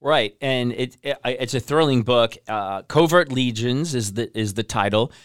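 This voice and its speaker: A man's voice: mid-range voice, unhurried, clear, resonant tone, dry recording, clean studio sound. A man hosting an interview podcast, upbeat and engaged as he introduces and questions his guest. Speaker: podcast host. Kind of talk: hosted interview.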